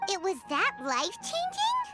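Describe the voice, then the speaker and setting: A woman voicing a tiny, cheeky childlike fairy companion in an anime game, with a squeaky voice. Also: wildly swooping pitch, normal speed, over loud music, heavily acted. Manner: talks of herself in third person.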